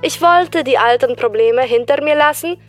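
A woman answering as a guest on a scripted radio show, explaining calmly. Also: high-pitched, moderate pace, faint music behind.

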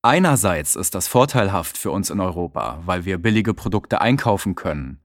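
A man reading short news texts and hosting a scripted radio call-in in a measured, clear way. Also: sits low, lively intonation, no room sound, clean studio sound. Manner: measured, clear read-aloud delivery. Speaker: reader of exam listening texts.

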